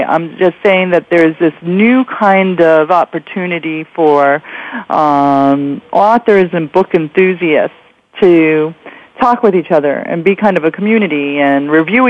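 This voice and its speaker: Female interview host, measured and conversational, pausing mid-thought, dull lo-fi radio sound with no room echo. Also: low voice.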